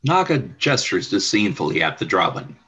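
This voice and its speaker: A man narrates a radio play from a script. His voice is fairly low and husky, with a bright edge and very lively intonation. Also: moderate pace, highs cut off.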